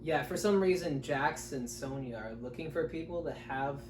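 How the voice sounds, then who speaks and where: Higher-voiced man, casual and exasperated, echoey room. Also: normal speed. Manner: profane, exasperated, digressive. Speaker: co-host of a film review show. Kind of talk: casual, unscripted film review chat. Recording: echoey room, distant mic, faint noise.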